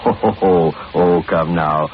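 An actor playing a fussy, courteous gentleman with hidden motives in an old-time radio drama, hemming and repeating himself with unctuous politeness. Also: deep voice, unhurried. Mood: courteous, probing. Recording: muffled, noisy vintage radio recording.

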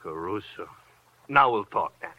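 An actor playing a chatty, hospitable New York cab driver in a 1950s radio drama, with a folksy, eager, confiding manner and a New York accent. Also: male voice, on the low side, animated, normal speed, sharp tone, exaggerated voice. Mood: hospitable, excitable. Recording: noisy, dull vintage radio recording.

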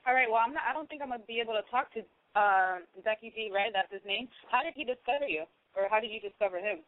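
A woman's voice: a little high, fast talker. A woman hosting a show, chatting casually as she interviews a guest over thin, phone-like audio.

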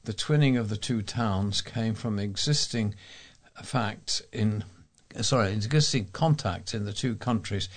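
Low-pitched man reading local news. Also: measured pace. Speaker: volunteer newsreader.

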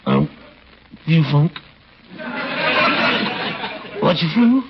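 A man's voice: a little high, wildly swooping pitch, unhurried, a loud crowd. A muffled, noisy old radio comedy recording. a pompous middle-aged uncle who takes his time, hemming and exclaiming, his voice leaping up and down with bashful chuckles. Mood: groggy, reluctant. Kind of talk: 1940s radio situation comedy acting.

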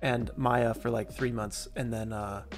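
A man's voice: mid-range voice, steady tone, a little slow, faint music behind. A young man hosting a live online interview, casual and enthusiastic, with laid-back slang. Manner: upbeat, gushing praise, laid-back slang. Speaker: livestream host.

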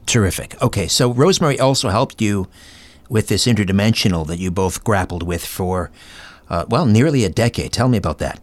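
A man hosting an interview, feeling his way into questions in a halting, conversational manner. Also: fairly low pitch, dry recording. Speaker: interview host.

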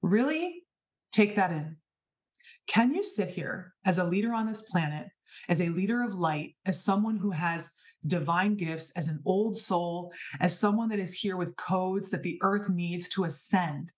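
A woman in her thirties speaking in a fairly low register. She gives an inspirational spiritual monologue, earnest and emphatic, and leans on repeated rhetorical questions. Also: measured pace. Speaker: podcast host.

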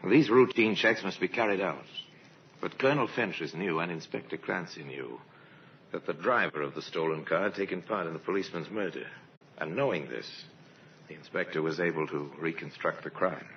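A man narrating a 1950s radio crime drama in a measured, dramatic, portentous manner. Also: low voice, a little hiss or hum. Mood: grave, suspenseful. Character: grave male narrator of a crime case. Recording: muffled old radio recording, music behind.

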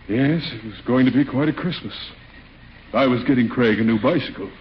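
A man's voice: medium pitch, fairly quick, close to an everyday voice. Man playing a wistful father, muffled old radio. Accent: American. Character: downcast, fond father grieving over lost Christmas plans. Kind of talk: 1940s radio comedy acting. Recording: muffled 1940s radio, slight noise, audience.

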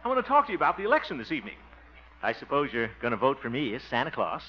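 An actor playing a vain, blustery middle-aged schemer in 1950s radio comedy, chuckling and sputtering. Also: male voice, mid-range voice, huge pitch swings, racing. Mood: exasperated. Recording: muffled, very noisy old radio recording.

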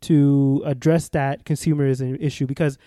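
A man's voice: medium pitch, little pitch movement, moderate pace, dark tone, dry recording, clean studio sound. A young man in casual conversation, earnest and thoughtful, restarting often and hedging with "like" and "I feel like". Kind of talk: casual chat.

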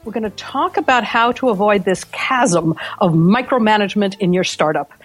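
A woman hosts a business podcast, talking through ideas in a relaxed, explanatory way. She often ends her points with little tag questions. Her voice is close and dry. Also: medium pitch, moderate pace, slightly rough, dry recording, soft background music. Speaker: podcast host. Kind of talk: conversational business talk.

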